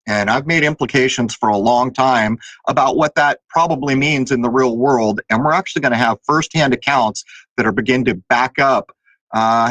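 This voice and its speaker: A man's voice: mid-range voice, fairly bright tone, no echo, poor audio. A man hosting a talk show, chatting casually and drifting between topics with fillers. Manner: conversational, digressive with fillers. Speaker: podcast host.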